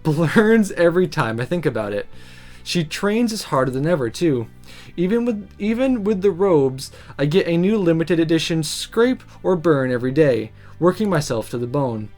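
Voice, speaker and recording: A woman let's player narrates a visual novel in the first person. Her reading is dramatic and introspective with lively pitch movement, and soft music runs behind her.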